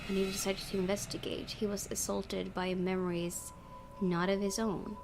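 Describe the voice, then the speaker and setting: A woman's voice: medium pitch, flat delivery, normal speed, gravelly. A woman hosting a gaming video, reading a visual novel aloud and adding casual commentary. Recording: game music behind the voice.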